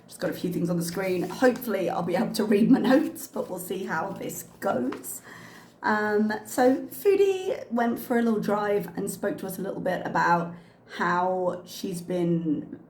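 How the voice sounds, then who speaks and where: Woman doing reaction commentary, kinda hoarse from a cough, lots of ums, echoey room w/ faint background hum. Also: medium pitch, unhurried, darkish tone. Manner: chatty, frank, with frequent ums and uhs. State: slightly losing her voice from a cough. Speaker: commentary channel host.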